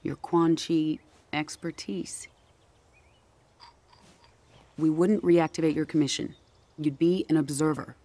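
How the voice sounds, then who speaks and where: A woman's voice: sits low, steady tone, slow pace, long pauses, warm tone. A woman playing a stern, determined special forces general in a video game cutscene, blunt and businesslike. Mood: urgent, frank.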